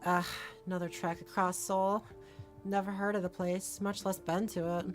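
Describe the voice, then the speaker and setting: A woman's voice: medium pitch, little pitch movement, normal speed, close to an everyday voice. A woman voicing a nervous, wry first-person protagonist in a visual novel, over music. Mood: reluctant, puzzled. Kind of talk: visual novel voice acting and narration.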